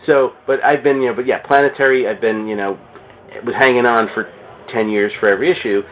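Man, mid pitch, podcast guest, enthusiastic rambling storytelling, repeated words, phone-like sound, noisy background. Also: steady tone, a little fast. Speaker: podcast guest, comic shop owner. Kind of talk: casual storytelling chat. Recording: tinny phone-like sound, clear background noise.

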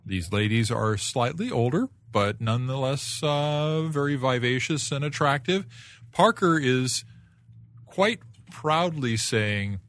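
A man's voice: medium pitch, lively intonation, slow pace, fairly bright tone. A man co-hosting a casual comics chat, talking in a wry, mock-serious way. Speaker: podcast co-host.

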